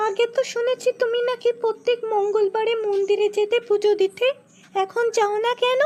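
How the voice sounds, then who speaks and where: A woman's voice: very high voice, fairly even intonation, brisk, a little mellow. A woman voicing an argumentative, indignant young wife in a comedy cartoon dub. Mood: nagging.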